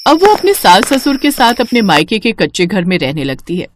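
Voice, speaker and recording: A woman's voice: medium pitch, sing-song, normal speed, slight background noise. A woman reading a scripted moral story as its narrator.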